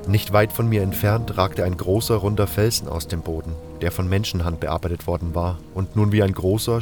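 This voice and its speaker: Low-voiced man narrating a fantasy audiobook. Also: a little mellow. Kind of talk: scripted first-person fantasy narration.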